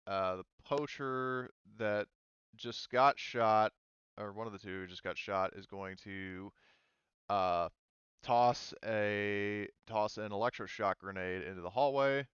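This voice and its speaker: A man with a lowish, very clear voice runs a tabletop role-playing session as game master. He thinks aloud in halting stretches. The recording is dry and slightly muffled. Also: a bit bright, no echo.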